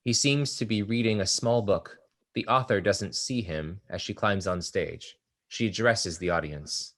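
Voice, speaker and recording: A man's voice: lowish voice, a little slow, fairly bright tone. A man reading stage directions aloud in a plain, matter-of-fact way during a play table read.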